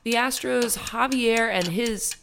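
A woman co-hosting a casual sports podcast, her voice tired and low, playful and self-mocking. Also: slow pace, creaky, noisy background. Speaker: podcast co-host.

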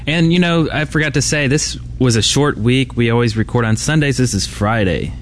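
A man's voice: medium pitch, brisk. A man with a mid-range voice banters casually as a podcast co-host. He is speaking on a convention floor, with slight ambient noise in the recording. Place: busy convention hall floor. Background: convention floor background noise.

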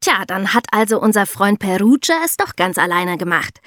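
A sensible, cheerful girl played by a woman banters with friends in a scripted podcast. Her voice sits at a middle pitch and is recorded close, with no room sound. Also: animated, moderate pace, fairly bright tone, no room sound, natural voice. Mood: sensible, conversational.

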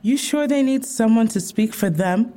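A woman's voice: fairly high pitch, animated, moderate pace, mellow, lightly acted. A woman playing a protective, indignant therapy group leader in an audio drama, with a guarded, confrontational way of talking. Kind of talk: naturalistic audio drama dialogue.

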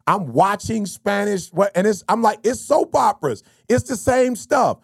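A man, hosting a podcast in casual, animated talk full of slang and stories. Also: high-pitched, moderate pace, gravelly, slightly rough, no echo, studio quality. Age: 50s. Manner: animated, storytelling, slangy with bro and you feel me. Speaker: podcast host. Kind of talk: casual motivational chat.

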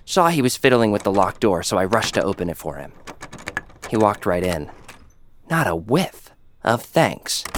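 A man narrating a first-person science-fiction audio drama in a dry, deadpan manner. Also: on the low side, slight background noise.

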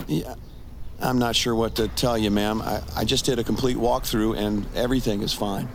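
An actor playing a calm, dismissive emergency responder in an audio drama, casual, patronizing and matter-of-fact. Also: male voice, medium pitch, normal speed, a little hiss or hum, close to an everyday voice. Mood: matter-of-fact, puzzled.

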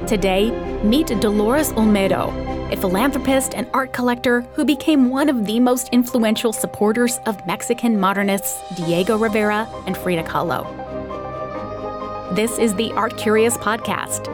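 A woman hosting an art history podcast, reading a scripted narration in a conversational way with wry asides. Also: fairly high pitch. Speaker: podcast host.

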